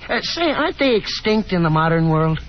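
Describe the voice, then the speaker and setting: Breezy, animated young gentleman. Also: high voice, a little fast, a little hiss or hum. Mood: playful, coaxing. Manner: hearty, slangy, encouraging chatter. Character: breezy, confident young gentleman caller coaxing a shy girl. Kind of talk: 1950s radio drama acting. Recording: dull 1950s radio broadcast, music behind.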